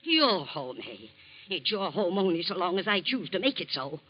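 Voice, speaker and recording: A woman's voice: fairly low pitch, big pitch swings, normal speed, dull audio. An actress playing a rich, bad-tempered old aunt who scolds and commands her niece, in 1940s radio acting style. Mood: angry. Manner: sharp, scolding, imperious.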